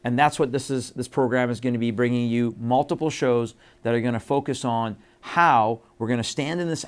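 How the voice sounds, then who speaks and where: A middle-aged man from Iran hosting his own show, talking earnestly and emphatically to the viewer with many fillers and repeated phrases. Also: mid-range voice. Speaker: host. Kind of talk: earnest monologue to camera.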